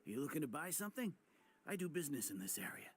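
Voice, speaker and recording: Hesitant weary village trader. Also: male voice, on the high side, big pitch swings, quick pace, very noisy. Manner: hesitant, stammering, apologetic. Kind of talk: game cutscene voice acting. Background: game music.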